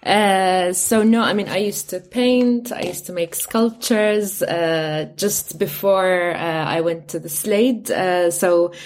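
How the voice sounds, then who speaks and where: A young adult woman, an artist working in film, answering a panel interview in a measured way, with uhs and "you know". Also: lowish voice, darkish tone. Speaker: artist and filmmaker.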